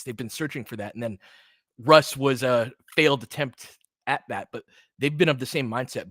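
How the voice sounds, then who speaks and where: A man's voice: mid-range voice, a bit bright, dry recording, clean studio sound. A man giving casual, opinionated basketball play-by-play as a fan host, chatting conversationally with listeners between calls. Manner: conversational, opinionated, answers chat commenters by name.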